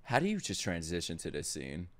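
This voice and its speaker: A young man streaming his reaction to an anime at home, cracking crude jokes and breaking into sudden loud outbursts. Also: deep voice, wildly swooping pitch, brisk, soft background music. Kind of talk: live reaction commentary. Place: home streaming room with gaming chair. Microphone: studio mic on boom arm, headphones on. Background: anime soundtrack playing faintly.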